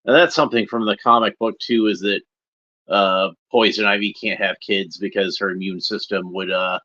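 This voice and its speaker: A man's voice: on the low side, steady tone, normal speed, pushed and bright, muffled recording. A man hosting a watchalong with casual, rambling, unscripted commentary and short observational remarks. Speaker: host of a cartoon watchalong commentary.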